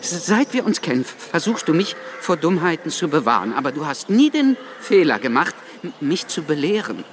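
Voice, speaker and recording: An actor playing a hot-tempered, wry revolutionary journalist with a stutter in a radio drama, speaking in halting, impulsive bursts. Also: male voice, a little high, very animated, a little hiss or hum. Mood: agitated, hurt.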